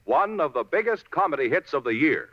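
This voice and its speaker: A man's voice: on the high side, sing-song, a little fast, no room sound. A man announcing a 1950s radio commercial. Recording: muffled 1950s radio recording.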